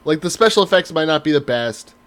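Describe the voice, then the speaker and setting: A man in his thirties chatting casually as a podcast co-host, telling stories in an animated way with emphatic swearing. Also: fairly high pitch, fast. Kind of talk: casual banter, profane chat.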